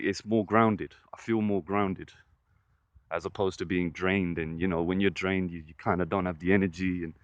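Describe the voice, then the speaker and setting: Man, fairly deep voice, casual vlog talk, earnest, encouraging, dry close sound. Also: no echo. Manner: earnest, encouraging, keeps saying "listen" and "trust me". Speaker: vlogger. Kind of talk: casual motivational vlog monologue.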